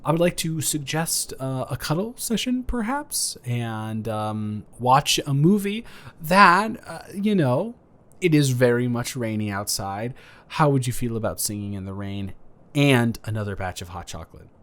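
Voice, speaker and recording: Male neighbor, played by a man for romantic audio roleplay; flirty, flustered, halting delivery, mid-pitched with very animated intonation. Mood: bashful, hopeful. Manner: stammering, halting, playfully awkward, earnest.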